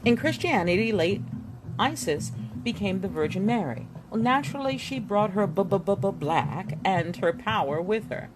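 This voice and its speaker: A woman reading a history book aloud expressively, with theatrical emphasis and pauses. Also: medium pitch, lively intonation, low-quality recording. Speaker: book reader. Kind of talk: expressive read-aloud of an illustrated history book, with spoken asides. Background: music.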